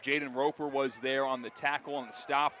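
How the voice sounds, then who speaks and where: An American man doing live play-by-play radio commentary of high school football, excitable and ready to shout the big calls. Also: a little fast, a little hiss or hum. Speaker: play-by-play announcer.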